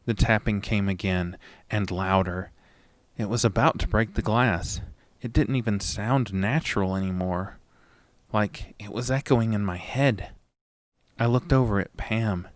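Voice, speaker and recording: Male horror narrator, low-pitched and dry, dramatic scripted first-person delivery. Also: no echo. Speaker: narrator and lead of a horror audio story.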